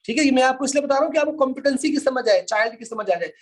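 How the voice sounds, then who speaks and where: A man's voice: very high voice, lively intonation, racing. A man with a Pakistani accent lecturing informally to a class, explaining step by step and repeating his points. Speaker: law lecturer.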